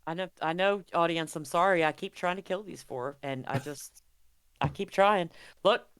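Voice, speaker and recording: A woman's voice: on the low side, normal speed, smooth, no room sound. A woman running a tabletop roleplaying stream as game master, chatting casually and praising her players in an encouraging way.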